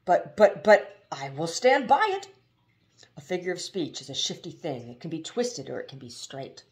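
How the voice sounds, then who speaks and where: A woman voicing an enormous, jolly male bus driver with a booming voice and stuttering repeats. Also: big pitch swings, normal speed, a little mellow. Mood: hearty, obliging.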